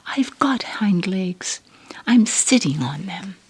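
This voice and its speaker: A woman's voice: mid-range voice, lively intonation, slow pace, slightly rough, voice pushed up, heavily acted. A woman voicing a small, shy but proud male toy rabbit from a storybook in an insistent, plaintive whisper. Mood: defiant. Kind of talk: storybook character in ASMR reading.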